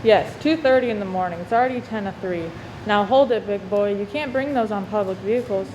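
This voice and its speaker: A woman playing a brisk, bossy, wisecracking bus conductor in a radio play. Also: medium pitch, dull audio, close to an everyday voice. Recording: clearly noisy recording.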